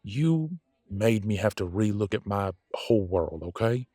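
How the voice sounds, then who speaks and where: Man playing a low-voiced, proud, grudging werewolf. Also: lively intonation, mellow, dry recording, studio quality. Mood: awkward, conciliatory. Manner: halting, blunt, grudging. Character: gruff proud male werewolf, reluctantly making peace with rival. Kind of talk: scripted audio roleplay drama.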